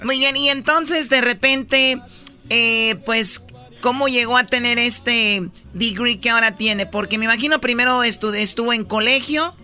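A man's voice: very high-pitched, unhurried, pushed and bright, soft background music. A man hosting a radio interview, guiding the guest by recapping his story and asking questions. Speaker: radio host.

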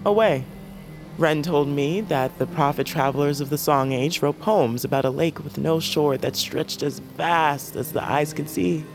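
A bitter, world-weary wanderer in an audio fiction drama. His voice sits fairly high for a man, and he talks in terse, brooding, old-fashioned poetic turns over prominent music. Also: measured pace, creaky. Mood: bleak, brooding. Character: embittered, world-weary wanderer, orphaned as a child, fatalistic.

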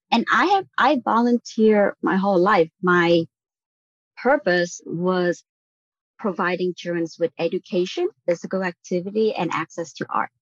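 A woman's voice: mid-range voice, no room sound. A woman from Vietnam speaking as a podcast guest, earnest and reflective, often checking in with "right?" as she talks. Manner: earnest, frequent "like" and "right?" tags. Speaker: guest on a real estate podcast. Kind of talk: reflective conversational interview answer. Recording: dull lo-fi audio.

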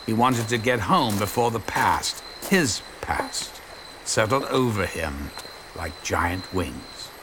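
A man's voice: lowish voice, animated, slow pace, noisy background. Brooding male storyteller with dramatically swooping delivery. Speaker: narrator. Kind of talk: dramatic horror-story narration.

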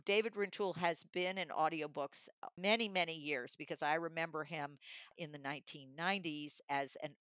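A woman's voice: deep voice, moderate pace, a bit bright, clear, resonant tone, dry recording. A middle-aged or older woman giving a conversational book review. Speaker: magazine publisher and audiobook reviewer.

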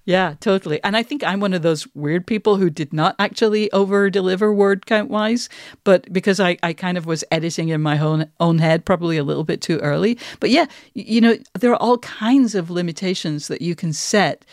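A woman's voice: lowish voice, fairly quick, a little mellow, dry recording. A woman speaks as a podcast host in a conversational, reflective way. Her phrasing is articulate and considered. The recording is dry, with very little room sound. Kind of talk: conversational discussion of writing process.

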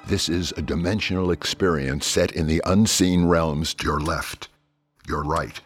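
Male intro announcer: low, solemn, deliberate dramatic read, noisy ambience. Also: fairly bright tone. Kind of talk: scripted dramatic narration.

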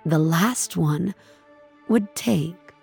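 A husky, grieving narrator of doom. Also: female voice, on the low side, darkish tone, soft background music, exaggerated voice. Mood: despairing. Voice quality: husky, gravelly. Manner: somber, measured, deliberate. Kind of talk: dramatic first-person audio fiction narration.

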